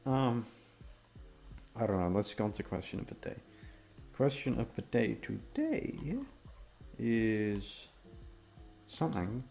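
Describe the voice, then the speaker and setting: A man's voice: lowish voice, takes it slowly, creaky, pauses often, mellow, soft background music. A man chatting casually as a host, rambling aimlessly and self-deprecatingly with plenty of swearing. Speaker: voice actor and channel host. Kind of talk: casual rambling outro chat.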